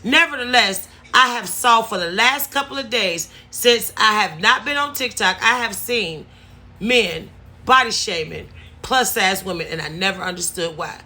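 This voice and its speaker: A woman's voice: mid-range voice, animated, moderate pace, sharp tone. A woman, talking casually to her viewers and stressing points by repeating words. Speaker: vlogger. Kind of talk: casual story-time talk to camera.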